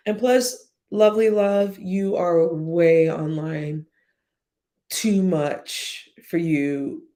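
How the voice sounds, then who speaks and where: Blunt, chatty woman with a gravelly voice. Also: low voice, warm tone. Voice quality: whiny-sounding voice. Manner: blunt, profane, chatty, addresses chat directly. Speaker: YouTube live streamer. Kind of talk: casual commentary livestream.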